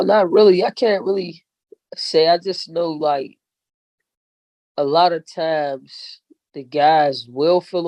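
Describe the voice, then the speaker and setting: A woman's voice: low-pitched, darkish tone, dry recording, lo-fi sound. A woman rapper talking as a guest in a casual podcast interview, laid-back and slangy, often saying "you know what I'm saying".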